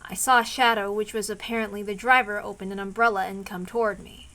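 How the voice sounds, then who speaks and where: A woman's voice: medium pitch, fairly even intonation, fast, pushed and bright. A woman streaming a visual novel read-aloud, moving between narration and informal, rambling asides where she interrupts herself. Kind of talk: casual chat and story read-aloud.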